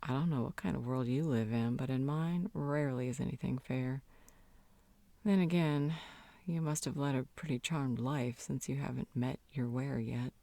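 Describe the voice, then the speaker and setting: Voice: medium pitch, fairly even intonation, normal speed, creaky, warm tone, no room sound, natural voice. Performer playing a cryptic, knowing supernatural healer. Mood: cryptic, dismissive. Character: knowing, cryptic, blunt vampire-world healer. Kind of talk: scripted audio roleplay, addressed to listener.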